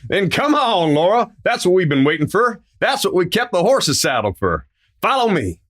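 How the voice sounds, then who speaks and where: Man acting a high-pitched folksy Western sheriff. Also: very animated, moderate pace, a bit bright, heavily acted. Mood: urgent. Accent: American. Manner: folksy drawling frontier speech. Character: folksy Western sheriff, resolute leader of a posse. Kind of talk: old-time Western radio drama acting.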